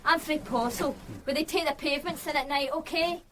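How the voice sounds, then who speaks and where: A woman's voice: high voice, brisk, natural voice. An actress playing a wary woman who speaks in a guarded, dismissive way in a radio drama. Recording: loud noise behind voice.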